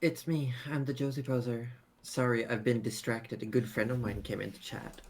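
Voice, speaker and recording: A woman's voice: very deep voice, fairly even intonation, normal speed, background noise. A woman running a tabletop game, chatting casually in a teasing, mock-bossy way. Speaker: game master. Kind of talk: casual chat.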